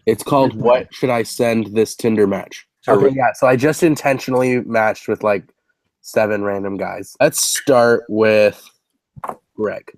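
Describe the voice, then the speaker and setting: A man's voice: lowish voice, unhurried. A man of twenty-one from Canada introducing himself and joking with others in a casual group video call. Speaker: online game contestant.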